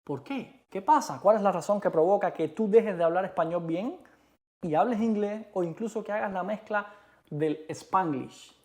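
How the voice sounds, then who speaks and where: A man from Cuba with a Cuban Spanish accent, co-hosting a casual chat in an emphatic, animated way. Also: high voice, normal speed. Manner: emphatic, animated, repeats rhetorical questions. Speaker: co-host.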